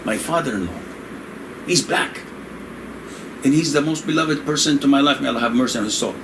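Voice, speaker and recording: An older man originally from Indonesia, a religious scholar answering questions in a relaxed, joking, digressive way, laughing often. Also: medium pitch, brisk. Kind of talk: conversational religious discussion. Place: room in Saudi Arabia. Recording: noisy room with air conditioning hum.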